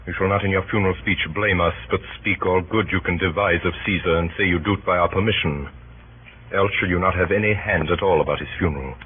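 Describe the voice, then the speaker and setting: A man's voice: low voice, slight background noise, close to an everyday voice. An actor playing a grave, honourable Roman statesman with firm, formal oratory in 1930s radio Shakespeare. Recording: tinny narrow-band 1930s radio recording.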